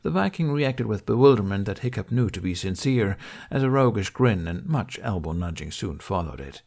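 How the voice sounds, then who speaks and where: Low-voiced man narrating a story. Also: lively intonation, moderate pace, a little mellow. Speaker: audiobook narrator.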